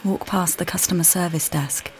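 A woman as a weary displaced mother, low-voiced. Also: normal speed, a little hiss or hum, close to an everyday voice. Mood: reflective. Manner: calm, plainspoken, addresses listener directly. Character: displaced mother, weary and uncertain, far from home. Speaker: audio guide narrator. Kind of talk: immersive walking-play narration.

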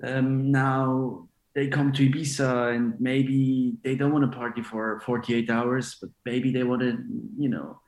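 A man of forty, raised in Switzerland, talking in a relaxed, rambling way as a DJ guest, with many fillers and restarts. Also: mid-range voice, measured pace, few pauses, echoey room, rough recording. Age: 40s. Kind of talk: casual interview chat.

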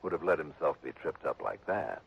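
A man's voice: very deep voice, big pitch swings, brisk, vocal fry, music in the background, exaggerated voice. An actor playing a tough, sardonic male insurance investigator in a 1960s radio drama, with a clipped, wry, pressing delivery. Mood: accusing. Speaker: lead actor. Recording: muffled old radio broadcast.

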